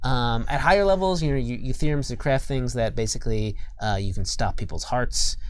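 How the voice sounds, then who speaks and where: A man with an average-pitched voice gives a loose, unscripted explanation as a game designer. He speaks haltingly, circling back and searching for the right word. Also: clean tone, no room sound. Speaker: game designer being interviewed.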